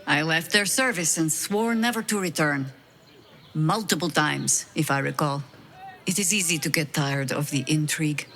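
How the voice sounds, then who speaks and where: A woman's voice: sits low, a bit bright, slight background noise. A wry, weary veteran adventurer woman. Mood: weary, self-mocking. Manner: wry, measured, self-deprecating. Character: weary, wry veteran high-ranking woman harper agent. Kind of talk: video game dialogue acting, reflective storytelling.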